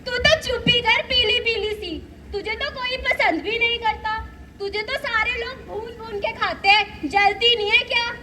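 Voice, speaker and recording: A young female performer playing a cute, boastful foreign burger in a school stage play, declaiming loudly. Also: very high-pitched, a little slow, pauses often, exaggerated voice. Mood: mocking. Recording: noisy live stage recording.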